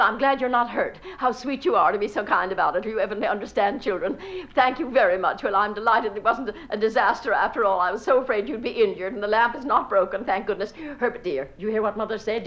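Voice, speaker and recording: A woman's voice: mid-range voice, fairly bright tone, close to an everyday voice. A woman playing a gossipy, bossy society mother in a stage monologue, gushing to friends and scolding her children between sentences, on a dull old recording. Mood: flustered. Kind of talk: solo stage character monologue.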